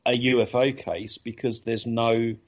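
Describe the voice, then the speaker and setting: A man's voice: medium pitch, flat delivery, unhurried, slightly rough. A man hosting a casual radio chat show, talking with many ums and restarts. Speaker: podcast host. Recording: dull lo-fi audio.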